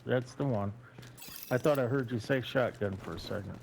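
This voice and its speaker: A man in his sixties, recently retired, chatting plainly with a fellow player in short, steady replies. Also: medium pitch, normal speed, gravelly. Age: 60s. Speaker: gamer in voice chat. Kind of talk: casual voice chat while gaming. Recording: loud gunfire and game noise behind. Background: loud game gunfire.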